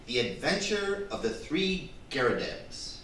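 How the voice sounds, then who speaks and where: A man's voice: fairly high pitch, big pitch swings, unhurried, sharp tone, heavily acted. An actor playing a genial, formal man recounting detective cases in an old-time radio drama on stage. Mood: genial, explaining. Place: theatre stage, indoors. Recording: very echoey hall from far off, faint noise.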